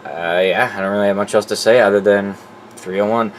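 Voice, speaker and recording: A man's voice: fairly low pitch, fairly even intonation, a little fast, slight background noise. A man presenting his collection in a casual, off-the-cuff, informal way, sometimes profane. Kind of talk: casual off-the-cuff show-and-tell.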